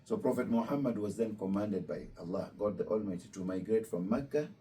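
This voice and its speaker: Low, mellow-voiced imam. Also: male voice. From Kenya. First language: Luo. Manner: patient, repeats key points, slows for emphasis. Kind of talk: explaining faith to visiting students. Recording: distant mic with room noise.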